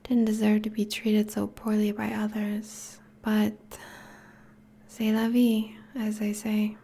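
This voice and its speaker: A woman playing a teasing, affectionate vampire girlfriend in an intimate ASMR roleplay, speaking softly in a breathy, whispery voice. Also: medium pitch, completely flat delivery, slow, heavily acted. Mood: affectionate, playful. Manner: soft, teasing, languid.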